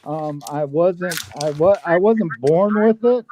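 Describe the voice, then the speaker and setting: A man on a live panel chat who talks excitably, repeats himself and jokes in mock disbelief. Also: on the high side, slow pace, noisy background. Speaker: panel participant. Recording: dull lo-fi dry sound.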